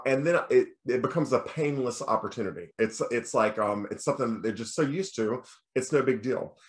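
A middle-aged American man with a bass voice, a choir teacher giving a recorded lecture in a relaxed, explaining way. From United States. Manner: relaxed explaining, breaks into sung demonstrations. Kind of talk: recorded lecture over slides.

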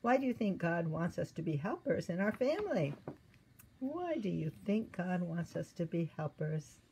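An older woman with a soft, mellow and slightly low voice reads a children's devotional story. She speaks gently and simply, like a teacher asking little ones questions. The recording is indoors, with a light layer of room noise. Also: sing-song, moderate pace.

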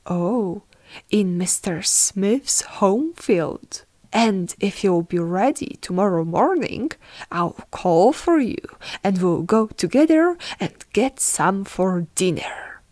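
A storybook big bad wolf, acted by a woman, menacing and wheedling. The delivery is very slow and emphatic, with lively swoops in pitch. The sound is close and dry. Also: no echo. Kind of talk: storybook fairy tale character voice.

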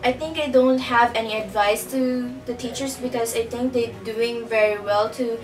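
A teenage student girl from the Philippines, speaking loudly and eagerly. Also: fairly high pitch, steady tone, moderate pace, echoey room. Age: teens. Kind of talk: interview testimony. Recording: recorded from far off. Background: background music.

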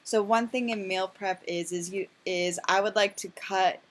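A woman's voice: mid-range voice, fairly even intonation, normal speed. A woman casually talking through her home cooking in a chatty, self-deprecating, rambling way, full of fillers. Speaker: vlogger.

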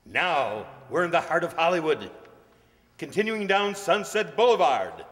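A man's voice: a little high, sing-song, unhurried, a bit bright. An older man playing a pushy, talkative bus tour guide, declaiming his patter in old-time radio comedy style. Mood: showy. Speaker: amateur radio-play actor. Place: large indoor stage hall, stand mic. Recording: reverberant hall, stage microphone.

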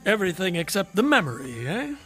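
An actor playing an eccentric, lonely wanderer in a radio drama, politely mocking and inquisitive, with a genteel, wry, wordy manner. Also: male voice, high-pitched, huge pitch swings, a little fast, sharp tone, slightly rough, exaggerated voice. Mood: teasing, genial. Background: faint music underscore.

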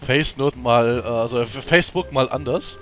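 A man's voice: mid-range voice, moderate pace. Teasing man commenting over game music. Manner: teasing, wisecracking. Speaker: let's play commentator. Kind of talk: casual joking commentary. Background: background game music.

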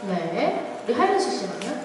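A woman's voice: on the high side, very animated, normal speed. A woman hosting a press conference, moderating questions for a panel. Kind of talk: event moderation. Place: large event hall, indoors. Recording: echoey hall from far off, noisy.

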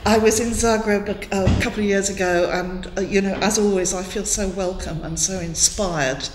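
An older Welsh-born woman lectures in a classroom, her voice ringing through a roomy, echoing space with a long tail of reverb. She thinks aloud, pausing on fillers and restarting phrases, at a middling pitch. A faint hum of room noise sits under her words. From Wales. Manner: thoughtful, many fillers and restarts, gestures a lot with both hands. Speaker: guest lecturer. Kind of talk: academic lecture. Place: classroom in front of a chalkboard. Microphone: headset mic.

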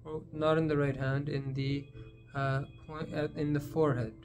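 A man leading a guided meditation in a gentle, unhurried manner, leaving long pauses between phrases. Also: on the high side, little pitch movement, over music.

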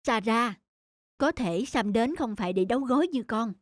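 A woman playing a gentle, patient, caring young mother in an animated cartoon. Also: fairly high pitch, normal speed, dry recording, clean studio sound.

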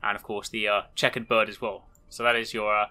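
Fast-talking, bright-voiced man hosting gaming videos. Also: lowish voice, flat delivery. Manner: enthusiastic, casual. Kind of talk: upbeat video walkthrough commentary.

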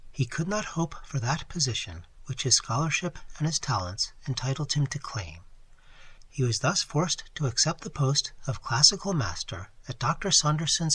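A man voicing a distressed young lady in a read-aloud story, speaking in a low, beseeching murmur. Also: a bit bright, slightly rough, full character voice. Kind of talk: audiobook character reading.

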